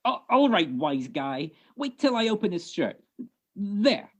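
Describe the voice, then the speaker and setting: A gruff, wisecracking homicide sergeant , acted by a woman, from an old detective radio play. The delivery swings widely in pitch, with blunt slang and sardonic jabs at the suspects. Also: big pitch swings, measured pace. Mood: matter-of-fact, dry. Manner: sardonic, slangy, blunt tough-cop talk. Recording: video call recording.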